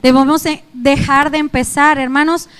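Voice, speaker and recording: A young Mexican woman preaches to her congregation in an earnest, pleading way. Her voice sits high, and her Mexican Spanish accent is clear. She keeps turning back to address her listeners directly. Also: fairly even intonation, normal speed, fairly bright tone. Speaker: youth pastor. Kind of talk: sermon.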